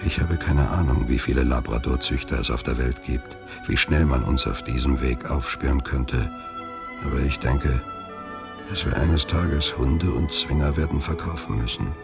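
Radio play lead: wary, weary older man, rock-bottom gravel voice, reflective and measured, music underneath. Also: rumbling low. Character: weary, wary older actor in hiding, Tom. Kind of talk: radio play narration, letter read as monologue.

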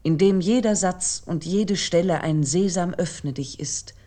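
A woman with a low, very soft-toned voice narrates a literary essay for radio. Her delivery is measured and formal, reflecting on the text as she reads.

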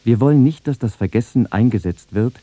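A man reciting literary texts in a measured, solemn manner. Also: medium pitch, animated, warm tone, dry recording. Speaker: reader of literary quotations.

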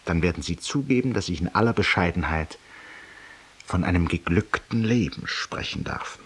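Middle-aged male barroom raconteur: low husky voice, urbane, ironic, confessional, theatrical radio monologue. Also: moderate pace, fairly bright tone. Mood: smug, urbane. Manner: eloquent, urbane, ironic, addressing a silent listener.

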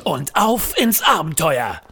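A man's voice: a little high, wildly swooping pitch, takes it slowly, sharp tone, full character voice. A man playing a self-important office clerk turned jungle adventurer in a comic audio drama, with a theatrical manner. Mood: eager, self-assured.

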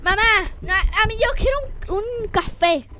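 Very high, excitable young female voice, noisy recording. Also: unhurried. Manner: playful, pleading, excitable. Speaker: drama student. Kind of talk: improvised amateur radio play. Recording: very noisy amateur recording with clicks.

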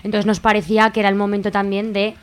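A woman's voice: mid-range voice, completely flat delivery, fast. A woman with an accent from Spain talking informally as a festival organizer in a radio interview. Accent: Spanish from Spain.

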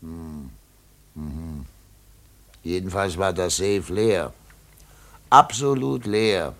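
An actor playing a boisterous, jovial émigré lawyer in a German radio play, hearty and given to exclaiming. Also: male voice, low-pitched, drawn-out words, a bit bright, exaggerated voice. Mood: intrigued, muttering. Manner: exclaiming, hearty, repeating himself.

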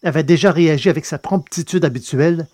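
Man reading a novel aloud, steady. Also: a little high, fast, no room sound. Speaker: audiobook narrator. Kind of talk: scripted narration of a science-fiction novel.

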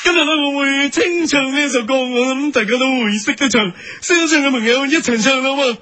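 A man's voice: extremely high pitch, brisk, background noise. A man voicing an earnest parody pop star, mumbling as if his mouth is full, with an echoey sound. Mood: slow, earnest. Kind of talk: comedy parody of a stage singer. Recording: echoey, with music behind.

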